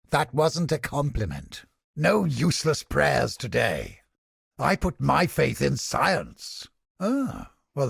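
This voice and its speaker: A man playing a cynical, sardonic, smugly godless inquisitor scholar in a video game, with a gravelly, husky voice and deliberate, formal, theatrical delivery. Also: mid-range voice, no echo, studio quality, heavily acted. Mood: dry, probing. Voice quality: gravelly, husky, breathy edge.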